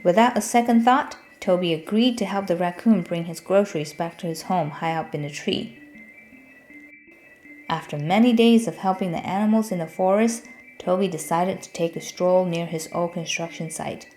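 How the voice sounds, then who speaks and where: A woman's voice: on the low side, normal speed, darkish tone, faint music behind. A woman narrating a children's storybook as a scripted read-aloud.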